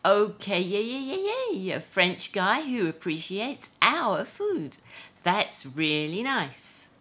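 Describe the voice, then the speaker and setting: A woman's voice: medium pitch, sing-song, takes it slowly, bright, forceful tone, full character voice. A woman voicing an upbeat female talk show host with bubbly, exaggerated enthusiasm. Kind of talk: audiobook character voice.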